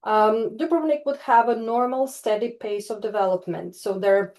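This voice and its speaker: A woman from Croatia presents research in a webinar over a video call. Her voice is mellow and dark-toned. She stops often for fillers and restarts phrases as she builds her points. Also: on the high side, normal speed. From Istria, Croatia. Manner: hesitant, many fillers and restarts. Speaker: webinar speaker, tourism researcher. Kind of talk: online presentation.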